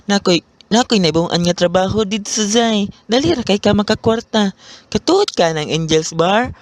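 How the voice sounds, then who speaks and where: A man's voice: high voice, expressive, moderate pace, dry recording. A man with a Cebuano accent playing a playful, teasing best friend in a student radio drama. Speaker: friend Bentong.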